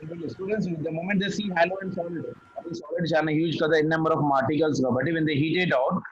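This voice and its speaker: A man's voice: a little high, fairly even intonation, quick pace, slightly rough, highs cut off. A man tutoring physics, patient, repeating key phrases and checking that his student follows. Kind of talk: one-on-one tutoring session.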